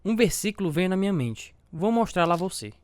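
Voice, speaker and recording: A man's voice: high-pitched, sing-song, a little fast. A man acting as a devout, self-doubting family man, narrating and talking in an earnest, confessional way with a Brazilian accent in a religious radio drama. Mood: conflicted, earnest.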